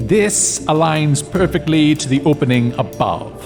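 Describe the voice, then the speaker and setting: A man's voice: a little high, animated, a little slow. An actor playing a haughty, scornful male wizard in an audio drama, talking down to others. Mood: explaining. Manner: condescending, insulting. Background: music bed.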